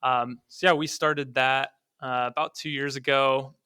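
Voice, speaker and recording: Bright, clear young man, fairly even delivery. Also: medium pitch, unhurried, no echo, clean studio sound. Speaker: podcast guest, startup founder. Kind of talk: casual conversational interview answers.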